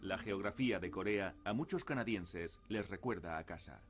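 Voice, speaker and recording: A man's voice: low voice, animated, moderate pace, faint music behind. Man narrating a war documentary.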